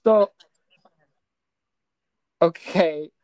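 Female podcast co-host, lower register, very dry recording; exasperated, halting film chat. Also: a little mellow, no room sound. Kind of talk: casual film discussion.

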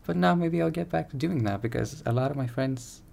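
Candid young man with a gravelly voice. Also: mid-range voice, fairly quick, darkish tone, a little hiss or hum. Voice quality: soothing. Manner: rambling, self-correcting, candid. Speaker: streamer. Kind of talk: casual personal podcast monologue. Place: home room.

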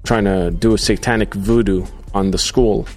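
A man hosting a live podcast, reading out viewer comments in a casual, halting way with many fillers. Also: fairly low pitch, fairly even intonation, darkish tone, soft background music. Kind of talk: casual livestream chat.

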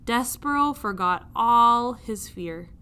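A woman reading a children's story aloud like a teacher, with expressive narration. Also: fairly high pitch, takes it slowly, clean tone.

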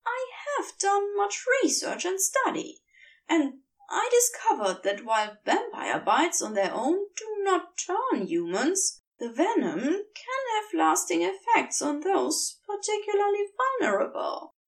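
A woman voicing a fussy, earnest male angel who speaks softly and hesitantly, prim and a little haughty. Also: very high-pitched, sing-song, a little slow, voice pushed up, full character voice. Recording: distant mic.

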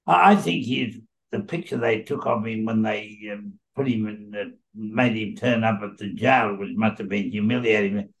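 A man's voice: medium pitch, gravelly, low-quality recording. An older man co-hosting a podcast, talking politics in an emphatic, rambling way with many restarts. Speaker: podcast co-host. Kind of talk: casual political chat.

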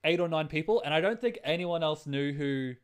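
A man co-hosting a podcast, chatting casually and excitedly like an enthusiastic fan about films and games. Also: on the high side, fairly quick, smooth. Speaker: podcast co-host.

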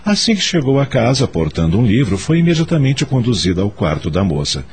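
A man's voice: mid-range voice, sing-song, a little fast, a little mellow, husky. A man with a Brazilian accent narrating a scripted radio drama in a dramatic storytelling style.

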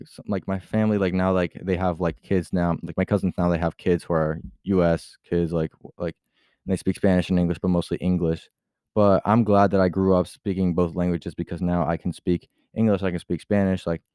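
A man's voice: deep voice, flat delivery, a little fast, warm tone, clear, resonant tone, dry recording, clean studio sound. A young man from Puerto Rico talking casually on his podcast in a rambling way, full of "like" and restarts, switching at times into Spanish. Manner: rambling, heavy use of filler "like", many restarts; switches into Spanish mid-talk. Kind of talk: casual personal monologue.

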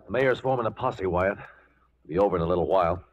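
A man's voice: low voice, quick pace, loud music behind the voice, lightly acted. An actor playing a loyal, watchful frontier lawman in a 1940s radio western drama, a man. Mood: steady. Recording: dull 1940s radio broadcast recording.

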